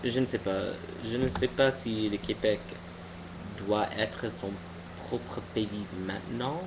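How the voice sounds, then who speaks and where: A young American man, a native English speaker, giving an informal opinion monologue haltingly, searching for words. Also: lowish voice, fairly even intonation, noisy background. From United States. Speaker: video blogger.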